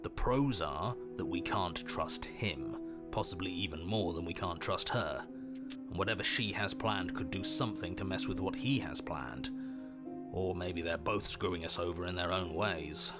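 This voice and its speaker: Man as a suspicious hero inner voice, very deep, dry and gruff, game music clear behind. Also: moderate pace, lightly acted. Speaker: game character.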